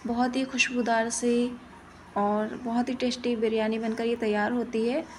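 Lady doing a home cooking voiceover, flat delivery, kinda high voice, noticeable background noise. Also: moderate pace. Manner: instructional, plain-spoken. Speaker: cooking channel host. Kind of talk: step-by-step recipe voice-over narration.

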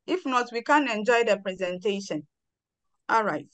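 A woman's voice: mid-range voice, animated, moderate pace, a bit bright, no echo. A woman student on a video call, asking for help and then politely telling the class not to write on the screen.

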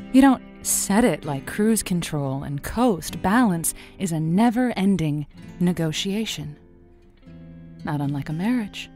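A woman's voice: lowish voice, dark tone. An actress playing a wry, feisty woman who hosts a late-night radio advice show, playful and musing in manner. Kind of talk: fiction podcast acting as late-night radio monologue.

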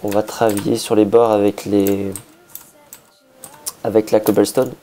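A man with a hoarse voice, a bit sick, casually talking through a building project, thinking aloud with many hesitations and restarts. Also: lowish voice, flat delivery, a little slow, mellow, slight background noise. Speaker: Minecraft builder.

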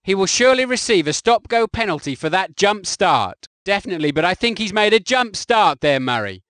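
A man delivering recorded co-commentary lines for a racing game in a measured, matter-of-fact way. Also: high-pitched, sing-song, a bit bright, no room sound, studio quality.

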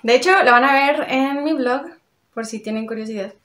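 A woman's voice: on the high side, normal speed. A young woman, chatting casually and expressively as a beauty vlogger reviewing products from her bedroom. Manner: chatty, expressive, uses diminutives. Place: small bedroom with vanity mirrors, indoors.

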